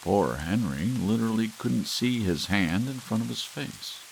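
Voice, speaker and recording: Man, very low voice, gravelly, lively pitch, hushed storybook narration, faint hiss. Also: low-quality recording. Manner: soft, hushed, measured reading. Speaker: audiobook narrator. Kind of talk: slow ASMR story reading. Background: soft rain.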